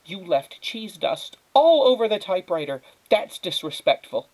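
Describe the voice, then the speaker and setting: Man putting on a shrill, exasperated storybook mother. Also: sing-song, normal speed, a little mellow, rough recording. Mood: exasperated scolding. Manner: imperious, emphatic scolding. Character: exasperated, shrill scolding mother, a self-important writer. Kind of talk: storybook read-aloud character.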